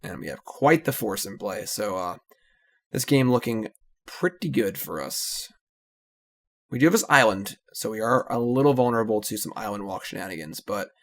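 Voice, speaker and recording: A man in his thirties, relaxed and analytical as he commentates his card game. His voice sits low and carries a gravelly, fried edge. He lets his thoughts trail off with a casual "so" before he moves on. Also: moderate pace.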